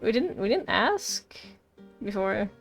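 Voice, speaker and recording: A woman's voice: mid-range voice, sing-song, slow pace, gravelly. A woman streamer reacting casually to a game, rambling and teasing, often repeating her words. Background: game music.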